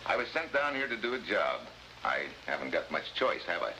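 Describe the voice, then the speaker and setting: A deep voiced american secret agent, dry wisecracks, old hissy 50s recording. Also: male voice, sing-song, a little fast. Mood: businesslike. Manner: wisecracking, sardonic, hard-boiled. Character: wry, tough male American special agent. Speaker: actor in the lead role. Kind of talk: 1950s American spy drama acting and narration. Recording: noisy vintage 1950s broadcast recording.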